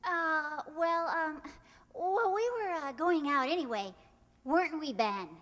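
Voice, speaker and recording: A woman acts a young niece in a radio comedy, sensible, coaxing and a little impatient. Her voice is extremely high and very animated, and it echoes in a hall. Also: takes it slowly, full character voice. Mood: flustered, insistent. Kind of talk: old-time radio comedy acting.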